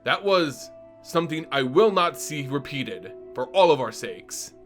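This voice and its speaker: A man reading aloud who voices a calm, ominous ancient female dragon in a measured, warning tone. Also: a little high, soft background music. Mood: grave. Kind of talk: dramatic story reading.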